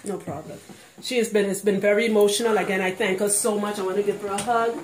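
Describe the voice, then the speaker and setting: A woman's voice: mid-range voice, fairly even intonation, quick pace, roomy sound, a little hiss or hum. A woman from Trinidad with a Trinidadian accent, talking casually and outspokenly to her viewers as a hair care consultant, laughing often. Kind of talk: casual vlog talk to camera.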